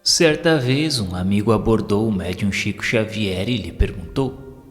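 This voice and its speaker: Low-voiced Brazilian male storyteller in heavy reverb. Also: unhurried. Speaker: narrator of a spiritist audio story. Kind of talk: scripted inspirational storytelling, audiobook narration. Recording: long reverb, music behind. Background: music.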